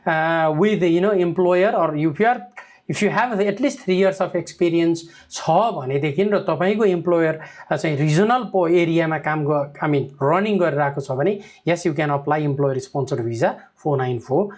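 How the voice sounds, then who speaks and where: Guy talking super fast, advisor answering comments, lots of uh and you know. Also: on the high side, a little mellow. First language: Nepali. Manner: code-switching, heavy fillers, frequent checks like "okay?". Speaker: migration advisor. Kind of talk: live Q&A answering viewer comments.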